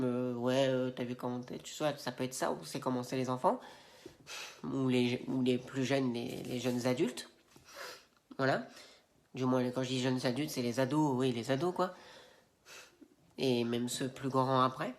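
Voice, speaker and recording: A man with a head cold and a stuffy nose, ranting casually to camera with slangy, rambling indignation and plenty of fillers. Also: medium pitch. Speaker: Instagram video creator. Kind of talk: casual opinion rant to camera.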